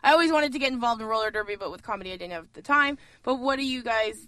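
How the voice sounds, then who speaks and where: Woman cohost joking around, bright, kinda high voice. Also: very fast, no echo. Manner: joking, teasing. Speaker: co-host, comedian. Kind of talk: casual chat.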